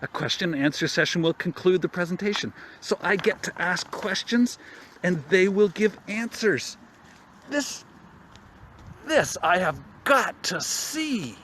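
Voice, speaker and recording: A man with a slightly high voice performs a comic monologue as he reads a document aloud. His delivery is theatrical and halting, full of exaggerated wonder, with faint noise in the recording. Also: expressive, fairly bright tone. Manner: exaggerated wonder, halting, repeats words for effect.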